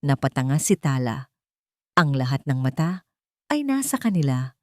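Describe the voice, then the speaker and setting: A woman's voice: a bass voice, sing-song, normal speed, dry recording, studio quality. A woman reading a scripted romance story as a dramatic narrator.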